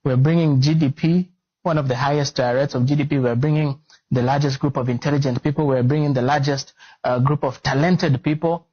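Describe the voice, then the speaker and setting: A man answers as an interview guest, speaking earnestly with many pauses and fillers. His voice is husky and mid-pitched. The recording sounds dull and lo-fi. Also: fairly even intonation. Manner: earnest, explanatory, with frequent fillers.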